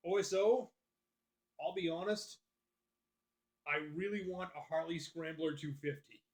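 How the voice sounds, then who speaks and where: A man's voice: fairly high pitch, a little slow. A man hosting a live stream, chatting loosely with viewers and swearing freely as he answers their comments. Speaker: livestream host.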